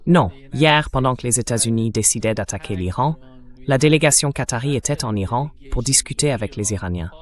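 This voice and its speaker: A man with an average-pitched, synthetic voice speaks as an interview host. It is a translated voice-over made with an AI voice. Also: animated, a little fast. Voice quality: synthetic AI dubbing voice.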